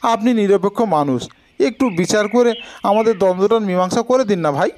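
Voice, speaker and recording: Man acting a high, petulant squabbling village brother. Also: fairly quick, darkish tone, a little hiss or hum, natural voice. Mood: complaining, explaining. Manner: quarrelsome, insistent. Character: squabbling village brothers, petulant men arguing over inheritance. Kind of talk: cartoon folk-tale character voice.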